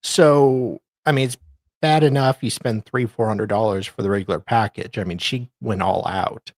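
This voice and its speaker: A man's voice: lowish voice, normal speed, dry recording, studio quality. A man co-hosting a show in casual, conversational chat. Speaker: co-host.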